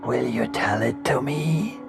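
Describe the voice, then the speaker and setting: A man narrating a horror story, voicing an uncanny being that only poses as a calm man, speaking softly and measured, often sinking to a whisper. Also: medium pitch, creaky, music in the background, pitched above the performer's own voice. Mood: soothing menace. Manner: soft, measured, slips into hushed whispers. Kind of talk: horror audiobook reading.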